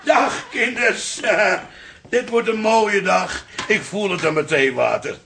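Casting: jovial old pub-keeping dad, grumbly, creaky, fairly high voice, radio drama. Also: male voice, slow, sharp tone, slight background noise, heavily acted. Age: older. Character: jovial, grumbly old working-class father and bar keeper. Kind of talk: naturalistic radio drama acting.

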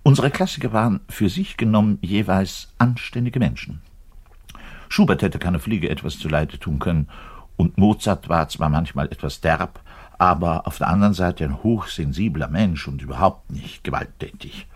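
A husky, deep-voiced, pedantic bass player. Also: male voice, normal speed. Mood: pedantic, earnest. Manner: pedantic, rambling, emphatic, shifting to muttered asides. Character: self-important, frustrated male orchestral double bass player. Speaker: actor in a radio monologue. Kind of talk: theatrical monologue addressed to a listener.

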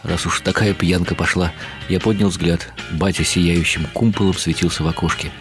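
A male actor as a world-weary writer narrating a radio drama. His voice is very low, his delivery ironic and musing, a little self-mocking. Music plays clearly behind him. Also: fairly even intonation, normal speed, natural voice. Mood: wry, musing. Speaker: narrator and lead character.

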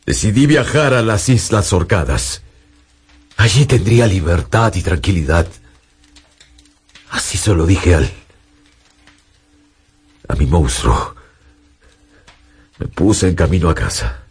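An actor playing an anguished young scientist in a radio drama, halting, dramatic and emotional. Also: male voice, low-pitched, expressive, breathy edge, heavily acted. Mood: grave confession. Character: anguished young male scientist, dutiful, haunted by guilt. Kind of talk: radio drama acting and narration.

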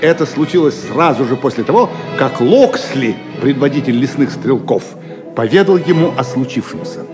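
An actor as narrator, telling a medieval adventure in scripted, dramatic storytelling style. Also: male voice, mid-range voice, very animated, moderate pace, lightly acted. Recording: long reverb with loud music.

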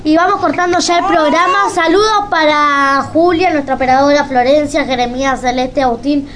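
A woman with a high voice and an Argentine accent hosts a casual radio show. There is faint noise behind her as she talks. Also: fairly even intonation, normal speed, a bit bright. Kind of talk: casual radio hosting, reading aloud and signing off.

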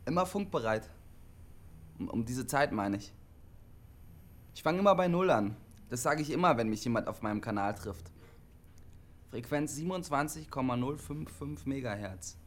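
An actor playing a lonely, guarded young CB radio operator, speaking haltingly and repeating himself in a naturalistic radio drama. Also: male voice, mid-range voice, lightly acted. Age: young adult.

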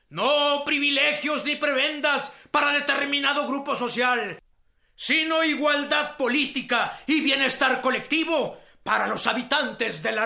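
A fiery revolutionary orator, declaiming at a convention in a radio play. His voice is very high, bright and gravelly. It rings through an echoey hall over a faint crowd. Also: exaggerated voice. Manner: rousing, declamatory speechmaking. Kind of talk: 1910s radio drama acting.

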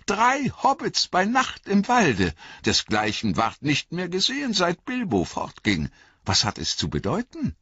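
A man doing high, teasing elf chorus. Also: wildly swooping pitch, a little slow, a bit bright, slightly rough, muffled recording, exaggerated voice. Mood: wondering. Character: group of teasing ageless elves, light and playful.

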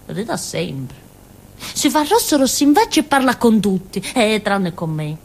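An actress playing a naive, simple-minded village woman in a theatre monologue, with a southern Italian accent and a childlike, halting, colloquial way of telling things. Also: mid-range voice, sing-song. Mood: chatty, simple. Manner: childlike, halting, giggly, muttering little asides. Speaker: stage actress.

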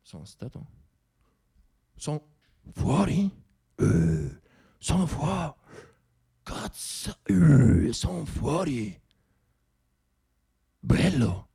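A man's voice: a little high, very animated, very slow, creaky, long pauses, warm tone, slightly rough, dry recording, clean studio sound, exaggerated voice. Theatre role: man as cheeky newborn workman, bewildered by the world. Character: cheeky rebellious newborn worker, polite and bewildered.

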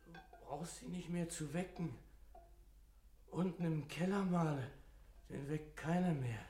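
Anxious servant lad in an echoey room. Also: male voice, a little high, dark tone. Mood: shaken. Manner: halting, anxious. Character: fearful young male servant named Jakob. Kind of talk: German radio play acting. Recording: echoey room with noise behind.

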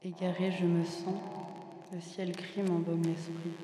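A young woman giving a measured, deliberate literary reading of introspective prose poetry, pausing between short phrases. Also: fairly low pitch, level tone, slight background noise. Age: young adult. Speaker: student reading co-written literary texts. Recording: long reverb, loud music behind.

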